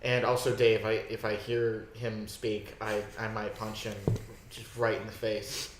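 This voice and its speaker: A man's voice: fairly low pitch, level tone, measured pace. A man talking as a player in a tabletop game in a wry, offhand way. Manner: offhand, wry in-character talk. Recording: roomy echo with faint noise.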